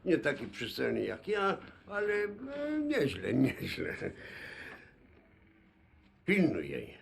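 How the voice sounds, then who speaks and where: An actor playing a boastful Polish man who speaks with slow, menacing bravado in a game cutscene. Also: fairly high pitch, wildly swooping pitch, slight background noise, heavily acted. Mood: gruff menacing. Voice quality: gravelly, raspy. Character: gruff boastful Polish man, threatening protector.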